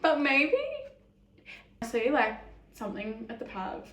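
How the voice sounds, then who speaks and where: A young woman talks through fan questions in a loose, giggly way, her voice sitting fairly high. She leans on "like" as she goes, and the room around her rings with a noticeable echo. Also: slow pace, fairly bright tone. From Australia. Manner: chatty, giggly, lots of "like" fillers. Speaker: YouTuber answering fan questions. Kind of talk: casual Q&A chat.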